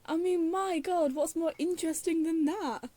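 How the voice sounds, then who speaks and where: A woman's voice: very high voice, fairly even intonation, normal speed, warm tone, no room sound. A woman giving casual reaction commentary while playing a video game, in a giggly, hesitant, rambling way.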